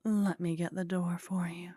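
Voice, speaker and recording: A woman playing a teasing, condescending female vampire in a soft ASMR roleplay, languid and haughty, often slipping into whispers. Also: fairly low pitch, flat delivery, normal speed, soft background music, lightly acted. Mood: sly, condescending. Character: teasing, condescending female vampire, sly, centuries-worldly contact.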